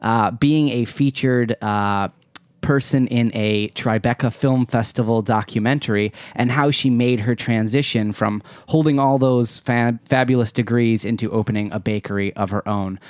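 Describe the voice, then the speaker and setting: A man's voice: mid-range voice, fairly even intonation, normal speed, clean tone, dry recording. A man hosting an interview show, asking long, rambling questions with hesitations. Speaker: podcast host.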